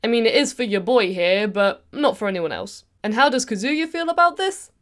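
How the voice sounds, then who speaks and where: A woman's voice: on the high side, expressive, brisk. A woman with a British accent giving a playful, sarcastic video game review, speaking directly to viewers as host. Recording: background music under voice. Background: music.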